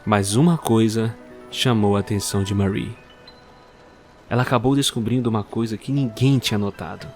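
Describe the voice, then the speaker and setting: A man narrating a dark Christmas tale in a measured, suspenseful manner that speaks directly to the listener. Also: medium pitch. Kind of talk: scripted storytelling narration.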